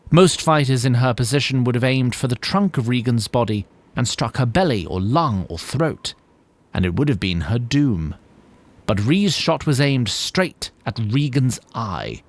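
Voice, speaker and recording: A man narrating a fantasy audio drama as a measured, wry storyteller who addresses the listener directly. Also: medium pitch, natural voice. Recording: music bed under narration.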